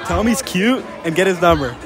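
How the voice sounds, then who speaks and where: A man's voice: high voice, animated, fairly quick. Pushy young guy egging on a dare. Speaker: co-interviewer. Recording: loud music and crowd behind.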